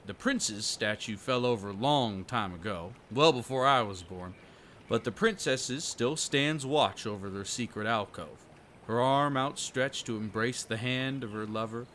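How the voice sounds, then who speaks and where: A man acts out a hospitable country fisherman in an audio roleplay. He has a bright, forward voice and speaks in plain folksy phrases with dropped g's. Some ambient noise sits behind him. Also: medium pitch, measured pace, lightly acted. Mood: wistful storytelling. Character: kindly rustic fisherman, folksy storyteller, hospitable.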